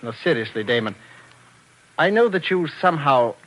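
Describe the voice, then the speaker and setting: An actor playing a suave, domineering husband in a 1940s radio drama, smooth and patronizing with false tenderness. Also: male voice, on the high side, big pitch swings, normal speed, fairly bright tone, slight background noise, low-quality recording. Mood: scheming. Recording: old 1940s radio recording, music behind.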